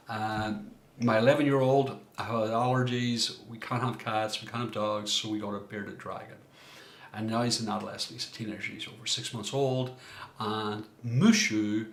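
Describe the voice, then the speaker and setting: Middle-aged man from Ireland, coach, reflective workshop talk, mid-pitched voice, echoey room. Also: a bit bright. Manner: reflective, searching for words. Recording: roomy echo.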